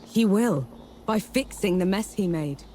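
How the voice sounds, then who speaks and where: A high-voiced, defiant warrior. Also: male voice, animated, measured pace, darkish tone, slight background noise, poor audio. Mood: grim resolve. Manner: blunt, determined, dry. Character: defiant, vengeful warrior out to kill gods.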